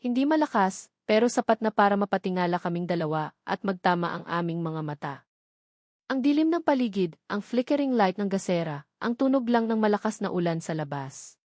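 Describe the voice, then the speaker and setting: A woman's voice: mid-range voice, expressive, a little fast, a little mellow, no echo. Filipino woman narrating romance, dry close sound. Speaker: story narrator. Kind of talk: first-person dramatic romance narration.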